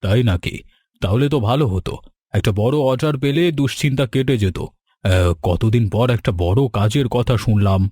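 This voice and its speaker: Man playing a fretful middle-aged sweet seller, mid-pitched. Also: normal speed, dark tone, no room sound, clean studio sound, lightly acted. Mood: wistful interest. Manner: fretful, lamenting. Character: worried middle-aged village sweet-shop owner, gloomy. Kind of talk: cartoon story acting.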